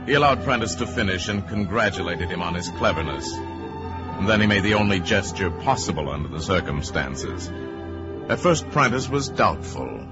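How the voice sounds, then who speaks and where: A wry, theatrical storyteller of radio crime tales. His fairly low voice is measured but moves expressively in pitch, with music behind it on a dull recording. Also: animated. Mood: wry, ominous. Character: wry male narrator of a crime tale. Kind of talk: scripted narration, radio drama.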